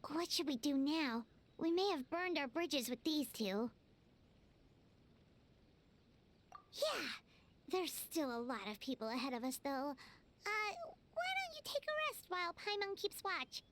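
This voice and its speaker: A woman's voice: high voice, normal speed. A woman voicing a small, childlike female fairy companion in an anime game, plucky, blunt and wary. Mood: concerned. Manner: refers to self in third person, plucky and expressive.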